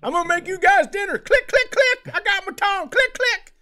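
A man's voice: very high-pitched, animated, a little fast, bright, forceful tone, pitched above the performer's own voice, full character voice. A man voicing a bumbling, cheerful dad in a silly, exaggerated comedy-podcast voice. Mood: goofy eagerness. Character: bumbling helmeted dad cheerfully clicking, high cartoonish voice.

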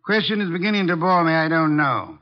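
An actor playing an imperious, irritable older detective in a 1950s radio drama, with pompous, precise and disdainful diction. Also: male voice, on the high side, lively intonation, a little fast, close to an everyday voice. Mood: lofty, brisk. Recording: dull lo-fi vintage radio recording.

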